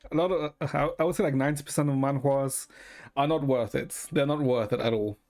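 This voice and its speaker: A man's voice: medium pitch, fairly quick, a little mellow. A man from Ecuador chatting casually to his live stream viewers in a rambling, informal way, swearing freely. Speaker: livestreamer. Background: music.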